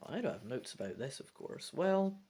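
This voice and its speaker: A woman's voice: sits low, big pitch swings, normal speed, mellow, husky. A woman reading a fantasy gamebook aloud and talking through her choices as she plays. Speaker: gamebook player and reader. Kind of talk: dramatic storybook reading with casual commentary.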